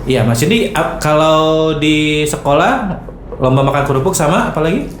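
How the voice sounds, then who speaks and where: A woman's voice: sits low, lively intonation, normal speed. A woman hosting a casual radio chat, playful and teasing with her guests. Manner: playful, laughs often, teasing. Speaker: radio host. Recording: echoey room with faint background noise.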